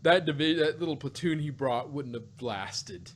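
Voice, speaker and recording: A man's voice: on the high side, expressive, normal speed. Rambling man in casual podcast chat. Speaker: podcast co-host reviewing audio dramas.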